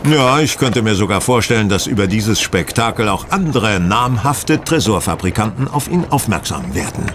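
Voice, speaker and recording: An actor playing a self-assured, wry gentleman detective in a radio play, explaining in a measured, teasing way. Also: lowish voice, very animated, noisy background. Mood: explanatory. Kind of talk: German radio play acting.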